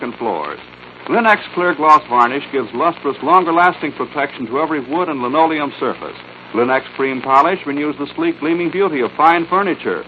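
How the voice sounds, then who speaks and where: Animated American man, vintage radio announcer. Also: fairly high pitch. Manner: polished, dramatic salesman-like delivery. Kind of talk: 1940s radio scripted announcing and narration. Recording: tinny 1940s radio recording, faint noise.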